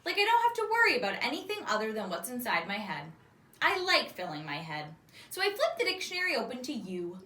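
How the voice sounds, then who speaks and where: A woman's voice: fairly high pitch, huge pitch swings, fairly quick, pushed and bright. A woman reading a children's book aloud expressively, in the style of a teacher. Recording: echoey room, distant microphone.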